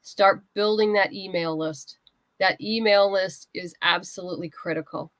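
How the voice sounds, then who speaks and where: A woman's voice: mid-range voice, fairly even intonation, moderate pace, no room sound. A woman chatting casually as an interview guest, giving practical advice in a conversational way. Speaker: guest writer and comics creator.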